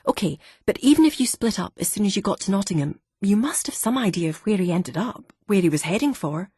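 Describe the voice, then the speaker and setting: A woman's voice: medium pitch, animated, fairly quick, darkish tone, husky, dry recording, studio quality, voice pushed up. A woman voicing a determined young woman searching for her father, with an earnest, pleading manner.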